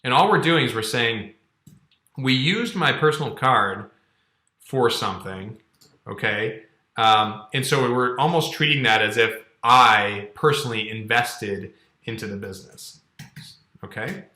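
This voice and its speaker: A man's voice: medium pitch, measured pace, pushed and bright. A man in his thirties giving a casual, conversational tutorial as an instructor, explaining step by step and often checking in. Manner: conversational, explanatory, frequent check-ins like "okay" and "all right". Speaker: accounting course instructor. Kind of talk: casual screen-share tutorial. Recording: roomy, echoing indoor sound.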